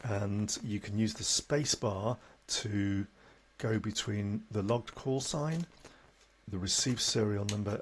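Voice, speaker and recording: A man presenting an informal step-by-step software tutorial, matter-of-fact and a little halting as he demonstrates. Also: on the low side, a little hiss or hum.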